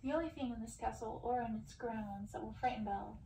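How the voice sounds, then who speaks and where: A woman voicing cruel witch sisters from a storybook, mocking and deliberate in their taunts. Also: fairly high pitch, lightly acted. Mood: menacing, taunting. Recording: roomy, distant, faint background noise.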